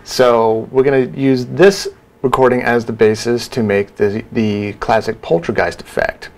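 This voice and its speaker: A man in his thirties giving an informal software tutorial, casually talking through each step. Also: mid-range voice, level tone, measured pace, slight background noise. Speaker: sound design tutorial presenter.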